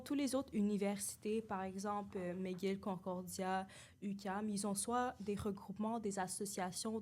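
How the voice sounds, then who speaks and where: A woman's voice: mid-range voice, darkish tone. A young woman, an English speaker, co-hosting a casual chat podcast in French, hesitant with many fillers. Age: young adult. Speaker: podcast co-host.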